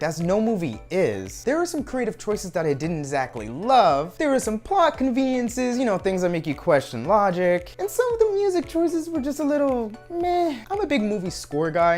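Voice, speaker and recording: A man in his thirties giving an enthusiastic, emphatic movie review to camera as a video reviewer. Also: on the high side. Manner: animated, emphatic. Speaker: film reviewer. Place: furnished room at home. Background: background music.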